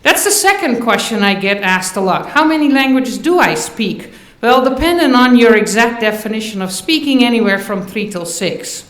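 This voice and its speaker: A woman's voice: fairly high pitch, a bit bright. A middle-aged woman professor from the Netherlands, a native Dutch speaker with a Dutch accent, giving a lightly humorous commencement address. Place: large auditorium stage. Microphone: podium microphone. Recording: echoey hall, podium microphone.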